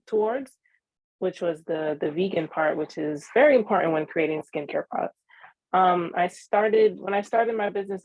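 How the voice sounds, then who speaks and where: A young woman of eighteen speaks earnestly and a little haltingly, often pausing on ums and restarting her sentences. Her voice sits on the low side for a woman. The recording is dry and somewhat dull. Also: a little mellow, no room sound. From New York (Queens). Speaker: young entrepreneur guest. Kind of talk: interview answers.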